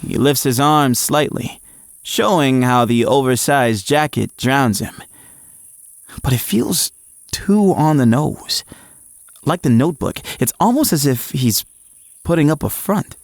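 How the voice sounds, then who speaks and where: Eager young male jock lead, animated and unhurried, for audio drama narration and dialogue. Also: mid-range voice, no echo, clean studio sound. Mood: puzzled, eager. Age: young adult. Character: eager, frazzled young male athlete narrating his story.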